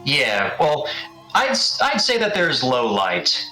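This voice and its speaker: A man's voice: mid-range voice, huge pitch swings, slow pace, sharp tone. A man acting as game master, narrating scenes in a tabletop fantasy game. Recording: roomy sound with background music.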